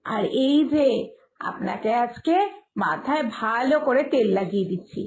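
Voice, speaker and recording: একজন মহিলার কণ্ঠে চড়া গলার যত্নশীল তরুণী বৌমা. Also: female voice, on the high side, animated, normal speed. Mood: gentle, coaxing. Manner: soothing, coaxing. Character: caring, dutiful young daughter-in-law in village household. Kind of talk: cartoon story voice acting.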